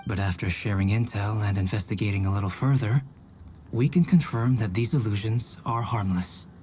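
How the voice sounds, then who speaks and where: A man playing a composed, scholarly young man in a video game, speaking in a measured, polite, formal way. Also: lowish voice, a little mellow, natural voice. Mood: calm, measured. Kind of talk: game voice acting. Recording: faint ambient noise behind.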